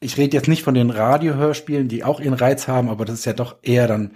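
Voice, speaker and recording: A man's voice: medium pitch, fairly even intonation, quick pace, warm tone, breathy edge. A man chatting casually as a podcast guest, explaining his work as a writer with examples. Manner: explains with examples and acted-out quotes. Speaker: guest, audio drama writer.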